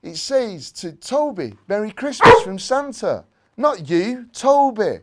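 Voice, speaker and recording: Man putting on an excitable high-pitched young boy. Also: slow pace, very noisy, exaggerated voice. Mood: curious, excited. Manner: exclaiming, eager.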